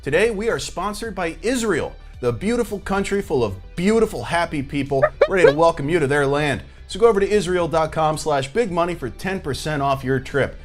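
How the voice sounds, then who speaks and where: A man's voice: fairly high pitch, animated, a little fast, hardly any pauses, faint music behind. A man hosting a satirical comedy video with mock-sincere, ironic, exaggerated enthusiasm. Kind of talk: satirical sketch presenting.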